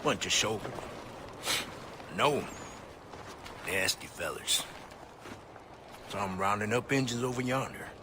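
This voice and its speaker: An actor playing a cowardly, shifty frontier hunter in a video game, with a folksy rural American drawl. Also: male voice, on the low side, measured pace, pauses often, lightly acted. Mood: nervous, compliant. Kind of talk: video game character acting. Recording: clearly noisy outdoor game ambience.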